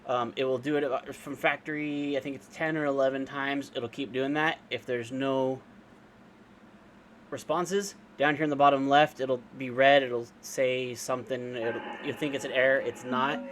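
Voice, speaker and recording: A man with a mid-pitched voice walks through a software tutorial in an informal, unscripted way. He explains things casually, one step at a time. Ambient noise sits behind his voice in what sounds like a home recording. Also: fairly even intonation, normal speed. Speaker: amateur radio operator giving a software tutorial. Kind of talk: informal screen-share tutorial, unscripted walkthrough.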